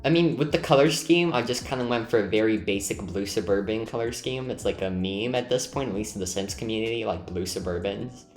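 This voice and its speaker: A man's voice: on the low side, a little fast, few pauses. A man, a native Lithuanian speaker, chatting casually and a bit hesitantly as he hosts a group chat over his building video. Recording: roomy echo, music behind. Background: background music.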